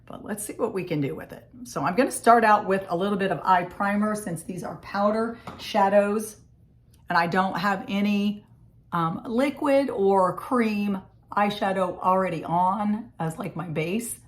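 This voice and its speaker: A woman with a scratchy, hoarse voice from a cold, chatting casually through a makeup tutorial in her home office. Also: mid-range voice, a little slow. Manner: chatty, friendly step-by-step explaining. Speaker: makeup vlogger.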